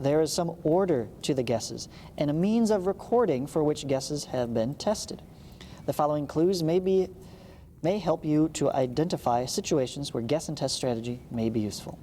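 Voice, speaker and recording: A man's voice: a little high, dark tone. A man teaching math, reading a textbook aloud and breaking off for informal explanatory asides. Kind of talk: read-along textbook lesson with casual asides. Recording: reverberant room with background hiss.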